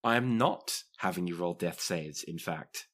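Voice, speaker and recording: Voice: low voice, a little slow, a bit bright. A game master narrating a tabletop roleplaying podcast, switching between dramatic storytelling and dry joking.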